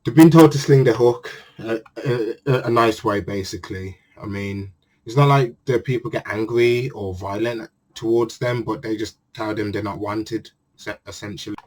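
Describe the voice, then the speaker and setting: A low-voiced man talks casually and earnestly as a channel host recapping a manga chapter. He often stops partway through a phrase and starts it again. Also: normal speed, darkish tone, poor audio. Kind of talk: casual spoken review monologue.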